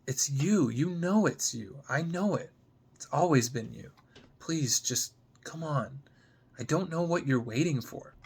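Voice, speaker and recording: A man's voice: on the high side, animated, unhurried, vocal fry, darkish tone, breathy edge, voice pushed up, full character voice. A man playing a lovesick, frustrated young man, pleading earnestly in a dramatic screenplay reading.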